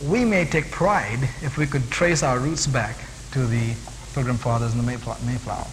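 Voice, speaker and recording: A man's voice: mid-range voice, moderate pace. A man preaching an expository sermon with explanatory asides, emphatically repeating and stacking phrases to drive points home. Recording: reverberant hall with audible hiss.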